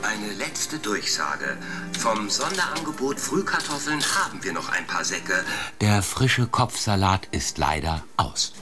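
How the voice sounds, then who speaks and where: An actor playing a friendly, casual supermarket clerk in a 1950s small town, talking wryly in a radio drama. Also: male voice, on the low side, big pitch swings, a little slow, over music. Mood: chatty, announcing. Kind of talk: naturalistic German radio drama dialogue.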